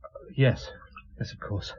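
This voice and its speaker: A man's voice: a little mellow, muffled recording, a little hiss or hum, close to an everyday voice. An actor playing a hesitant, humble, down-at-heel father in a radio drama. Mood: nervous, grateful. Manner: hesitant, halting. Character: nervous, humble, down-at-heel father with a drinking habit.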